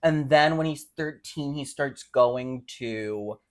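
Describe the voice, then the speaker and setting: A man's voice: mid-range voice, slow. A man co-hosting a casual, unscripted book podcast, rambling with lots of "like" and speaking bluntly with some swearing. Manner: rambling, heavy use of "like". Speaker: podcast co-host discussing short fiction.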